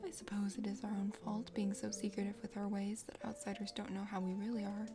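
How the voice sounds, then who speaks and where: A woman voicing a chatty, condescending dragon sister from a forest kingdom in a video game, speaking formally in a soft ASMR whisper. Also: mid-range voice, little pitch movement, a little fast, voice pushed down, lightly acted. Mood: proud, chatty. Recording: music behind the voice.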